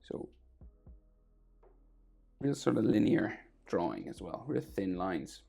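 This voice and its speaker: A man with a sore throat and a crackly, gravelly voice, talking casually through a drawing tutorial, often trailing off to a near whisper. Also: low voice, sing-song, takes it slowly, dark tone.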